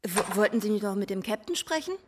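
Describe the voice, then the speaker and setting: An efficient, modest office assistant in a radio crime play, played by a woman. Her medium-pitched voice is helpful and matter-of-fact, with clear noise behind it. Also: expressive, fast talker, close to an everyday voice. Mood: businesslike. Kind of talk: radio drama acting. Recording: clearly noisy background.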